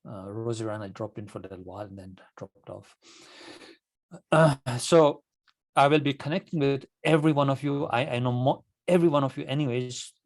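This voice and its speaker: A man from Kenya, a native Swahili speaker, addressing club members informally in a halting, repetitive, emphatic manner. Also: medium pitch, creaky, no echo. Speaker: club leader addressing members at an online meeting. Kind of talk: informal motivational meeting talk.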